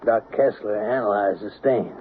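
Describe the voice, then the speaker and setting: Man acting a low-voiced, folksy, shrewd investigator. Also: normal speed, close to an everyday voice. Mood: persuasive. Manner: plain-spoken, folksy. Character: folksy, shrewd male small-town investigator, calmly pressuring. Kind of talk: old-time radio drama acting. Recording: tinny, noisy old radio broadcast.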